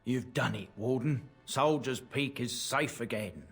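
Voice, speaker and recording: A husky, humble fantasy merchant. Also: male voice, mid-range voice, a little slow, faint music behind. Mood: rueful, earnest. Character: plain-spoken, earnest merchant, humble fantasy tradesman. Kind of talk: video game dialogue.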